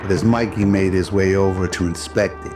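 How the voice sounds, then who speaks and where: A man's voice: low-pitched, fairly even intonation, brisk. A man giving scripted dramatic narration in the style of an old radio drama. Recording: loud music under the voice. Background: background music.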